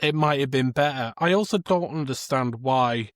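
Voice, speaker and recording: A man's voice: medium pitch, moderate pace, no room sound, studio quality. A man co-hosting a podcast, giving his opinions on films in casual chat. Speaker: podcast co-host. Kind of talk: casual film review chat.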